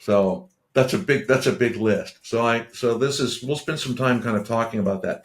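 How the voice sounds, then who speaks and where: A man hosting an interview podcast, talking casually and rambling, often repeating himself and restarting sentences. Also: lowish voice. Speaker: podcast host. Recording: roomy echo.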